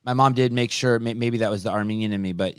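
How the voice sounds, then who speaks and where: A man from California hosting a podcast in casual conversation, telling animated stories and swearing freely. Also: on the low side, fast, smooth, dry recording, clean studio sound. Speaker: podcast host.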